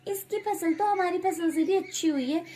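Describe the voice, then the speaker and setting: A woman voicing a scheming, envious village farm woman gossiping in an animated moral story. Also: very high voice, normal speed, pitched above the performer's own voice.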